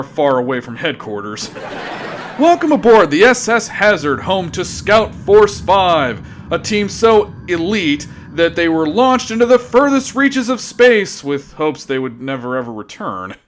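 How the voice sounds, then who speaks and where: A man's voice: high voice, sing-song, measured pace, a little hiss or hum. A man narrating a scripted sci-fi comedy in a theatrical, wry manner.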